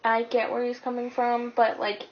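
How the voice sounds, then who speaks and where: A woman with a fairly high voice recaps a film in loose, slangy chat. She swears freely and sounds very informal. The recording is slightly dull, with faint noise behind her. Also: monotone, normal speed. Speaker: guest co-reviewer. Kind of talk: casual movie recap chat.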